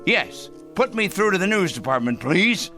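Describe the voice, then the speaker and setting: An actor in a radio drama playing a paranoid, gloating crank who rambles theatrically to himself. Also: male voice, high-pitched, very animated, normal speed, sharp tone, soft background music, full character voice. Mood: self-important, insistent. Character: gleeful paranoid male crank plotting a moral purge.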